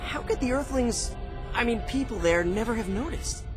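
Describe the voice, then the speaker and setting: A man's voice: very high voice, lively intonation, normal speed, music in the background. An actor playing a brash, impulsive young male hero in a video game cutscene. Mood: eager. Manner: eager, impulsive.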